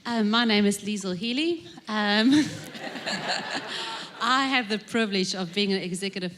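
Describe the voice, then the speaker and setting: A thoughtful woman minister speaking on a church panel. She pauses with lots of ums, and her voice sits at a middle pitch. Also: fairly bright tone. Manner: thoughtful, hesitant with many ums. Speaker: executive minister. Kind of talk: panel conversation. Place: church stage at a live service.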